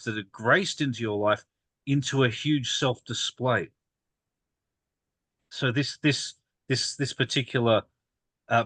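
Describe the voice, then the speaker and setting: A man reflecting aloud in a discussion, measured and searching for his words. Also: medium pitch, fairly bright tone, no echo. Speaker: group discussion participant.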